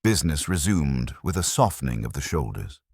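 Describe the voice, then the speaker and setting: A man giving a measured, wry, literary narration of a genteel mystery story as a solo storyteller. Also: very deep voice, big pitch swings, a little mellow, husky, no room sound, studio quality.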